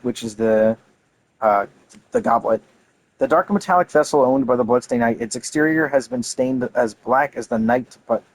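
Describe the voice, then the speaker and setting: Male gamer, mid-pitched voice, reading lore aloud with casual, enthusiastic commentary. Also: rough recording. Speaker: gaming content creator.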